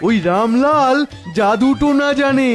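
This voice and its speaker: A man's voice: extremely high pitch, a little slow. A man voicing a baffled, gossipy cartoon villager who talks in querulous questions. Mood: bewildered. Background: clear background music.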